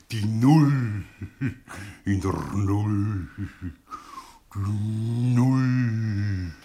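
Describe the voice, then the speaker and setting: An actor playing a cynical, bitter professor in a radio play, declaiming in with sardonic rhetorical questions. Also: male voice, lowish voice, very slow, pauses often, warm tone, a little hiss or hum. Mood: bitter mockery. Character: bitter, cynical male professor, theatrical intellectual monologist. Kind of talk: German radio play acting.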